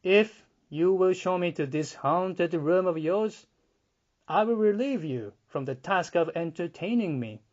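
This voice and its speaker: Man acting a firm, raised-voice gentleman. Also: high-pitched, lively intonation, measured pace, highs cut off, voice pushed up. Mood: firm, defiant. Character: confident, open-minded gentleman visitor, defiant and brisk.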